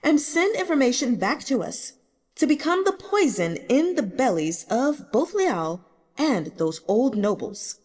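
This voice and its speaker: Resolute, imperious emperor for fantasy audiobook, voiced by a woman, mellow tone, animated and formal delivery. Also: high voice, a little slow, big-room sound. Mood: grave, commanding. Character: proud, resolute young male emperor plotting bold reforms.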